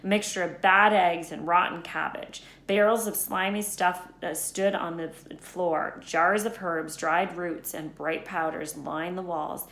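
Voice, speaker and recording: A woman with a slightly low voice reads a children's story aloud as scripted narration. The recording is noticeably roomy and echoey. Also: fairly even intonation, a little slow, few pauses, a bit bright. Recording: echoey room.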